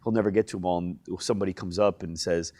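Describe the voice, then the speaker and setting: A man hosting a casual interview, mostly giving short agreeing replies and relaxed storytelling. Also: sits low, quick pace. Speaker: podcast host.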